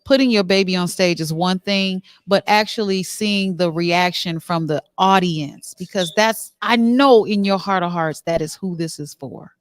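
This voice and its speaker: A woman's voice: fairly low pitch, normal speed, hardly any pauses, no echo. Female show host interviewing, dry sound. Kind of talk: casual on-location interview.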